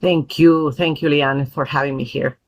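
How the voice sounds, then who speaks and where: Earnest Peruvian-born woman, thoughtful pauses. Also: deep voice, fairly even intonation. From Peru. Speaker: guest, parent advocate. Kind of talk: podcast interview.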